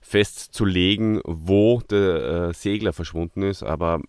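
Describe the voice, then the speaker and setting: Very deep, smooth-voiced man. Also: a little slow, a little mellow, dry recording, studio quality. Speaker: podcast reviewer. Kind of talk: casual podcast discussion.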